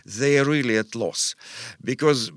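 A man's voice: medium pitch, no echo, studio quality. Man in his fifties. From Russia. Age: 50s. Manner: thoughtful, with pauses and fillers. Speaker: guest expert. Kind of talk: interview answers. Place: studio.

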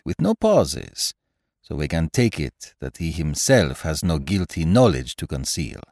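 A man's voice: deep voice, a little mellow, no echo, studio quality, full character voice. Man voicing a courteous, shrewd foreign detective, swooping delivery. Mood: satisfied, explanatory. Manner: formal, deliberate, questioning, sprinkled with French phrases. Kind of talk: audiobook character voice.

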